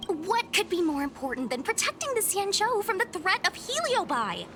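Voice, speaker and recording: A woman voicing an earnest, excitable young female monster slayer in a video game, eager and a little boastful. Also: very high voice, moderate pace, slight background noise, heavily acted. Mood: indignant. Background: music.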